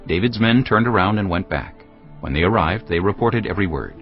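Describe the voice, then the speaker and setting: A man narrating scripture from a script in a measured, solemn storytelling manner. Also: low voice, lively intonation, highs cut off, soft background music.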